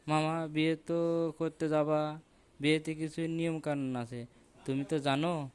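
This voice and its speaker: A man's voice: a little high, little pitch movement, unhurried, a little mellow, smooth, lightly acted. A man voicing a sly, scheming jackal in a cartoon, coaxing and instructing. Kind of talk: cartoon voice acting.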